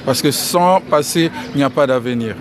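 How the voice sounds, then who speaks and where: A man from the Republic of the Congo giving an outdoor press interview, speaking in a measured way and repeating key phrases. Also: medium pitch, music in the background. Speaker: interviewee, financial engineering expert. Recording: crowd noise behind.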